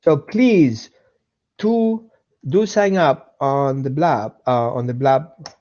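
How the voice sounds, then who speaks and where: A man's voice: mid-range voice, slow pace, darkish tone, dull audio. A man with an Austrian accent hosting a casual live dog-training webinar, chatting with viewers, repeating himself and greeting people by name.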